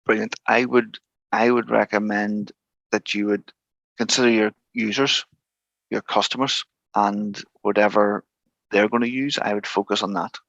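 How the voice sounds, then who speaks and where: A man speaking as a guest expert in an informal online tutorial, encouraging but halting, over slightly dull call audio. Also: fairly low pitch, steady tone, gravelly, a bit bright, no echo.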